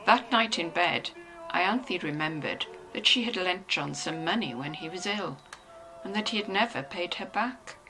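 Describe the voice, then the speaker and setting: A woman narrating a novel in an expressive, scripted storytelling style. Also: lowish voice, normal speed, music in the background, rough recording.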